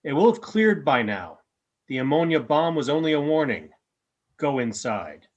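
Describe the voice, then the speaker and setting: A man playing a stern, resolute alien guardian in a radio play, speaking in formal, measured pronouncements. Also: fairly high pitch, big pitch swings, highs cut off.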